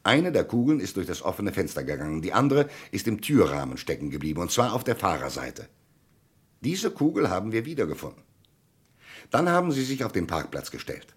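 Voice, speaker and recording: A low, animated male voice plays a shrewd commissioner in a German radio crime play. He is husky and insistent, laying out each point methodically and pressing for answers with theatrical emphasis. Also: a little fast, heavily acted. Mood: insistent, deductive. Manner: methodical, probing, declamatory interrogation.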